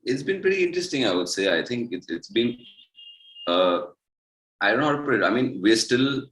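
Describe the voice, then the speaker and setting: A man's voice: medium pitch, big pitch swings, brisk, a bit bright, poor audio. A young man, a musician guest answering interview questions in a rambling, casual way with many fillers. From India.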